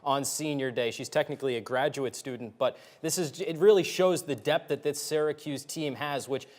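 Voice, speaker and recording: A man's voice: mid-range voice, normal speed. A man giving conversational commentary as an analyst on a sports broadcast.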